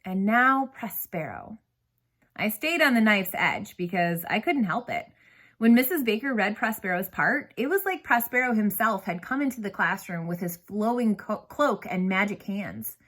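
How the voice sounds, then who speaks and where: A woman narrating a novel aloud in an expressive storytelling manner, putting emphasis on key words. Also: medium pitch, moderate pace.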